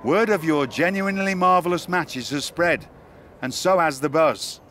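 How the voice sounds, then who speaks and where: An actor playing a dry-witted wrestling tour organizer with a formal, wry way of speaking, in a video game cutscene. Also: male voice, fairly high pitch, normal speed, a bit bright, slight background noise, close to an everyday voice.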